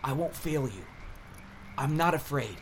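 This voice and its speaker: A man's voice: fairly high pitch, expressive, measured pace. A man playing an earnest, impatient young warrior in an audio drama, sounding exasperated and pleading. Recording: clearly noisy ambience behind the voice.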